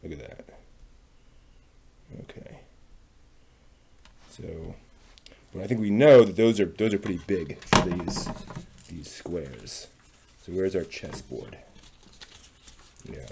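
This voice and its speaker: A man casually thinking aloud as he works on a craft, muttering to himself in short, unhurried phrases. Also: sits low, expressive, creaky, a little mellow, slight background noise. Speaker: maker hosting a craft workshop video.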